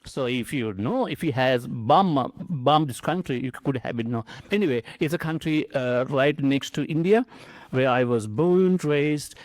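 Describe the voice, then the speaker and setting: A middle-aged man from Sri Lanka whose first language is Tamil, speaking with a Sri Lankan accent and giving an informal conference talk in a conversational, halting way with many repeated words. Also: medium pitch, expressive, no room sound. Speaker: academic presenter.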